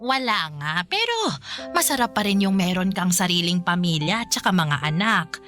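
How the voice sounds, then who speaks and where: An actress playing a caring, worried older mother who gently nags in a conversational radio drama style. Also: lowish voice, big pitch swings, normal speed, soft background music. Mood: gently nagging. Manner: conversational, fond, chiding with hesitant interjections.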